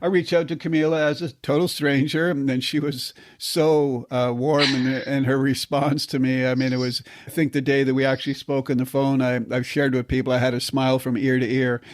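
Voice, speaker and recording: Older man, podcast host, mid-pitched, halting delivery, dry close mic. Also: flat delivery, dry recording. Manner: halting, repeats words when starting sentences. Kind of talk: podcast interview.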